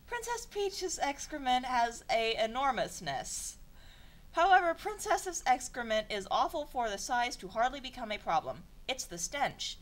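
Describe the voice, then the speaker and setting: A young woman reading broken fan fiction aloud for comedy, in a deadpan voice, stumbling over garbled phrases and breaking off for exasperated sarcastic asides. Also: on the high side. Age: young adult. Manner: stumbles and self-corrects over garbled sentences.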